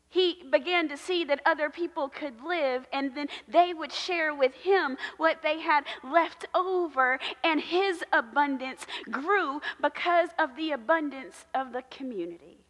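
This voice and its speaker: A woman in her thirties, a pastor preaching a sermon in a large church sanctuary with slow, emphatic repetition. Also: high voice, fairly bright tone. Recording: long church hall reverb.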